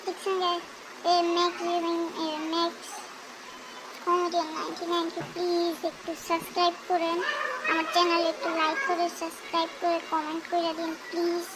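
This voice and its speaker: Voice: very high-pitched, monotone, brisk, background noise. A performer voicing a cheeky, clever young boy in a cartoon, speaking in a teasing, playful way. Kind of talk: cartoon dubbing.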